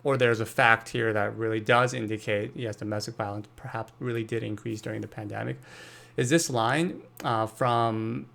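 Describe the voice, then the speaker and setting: A man's voice: lowish voice, fairly even intonation, normal speed, vocal fry, fairly bright tone. A young man hosting a solo podcast monologue, thinking aloud and correcting himself often, with many fillers. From Brazil. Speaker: podcast host.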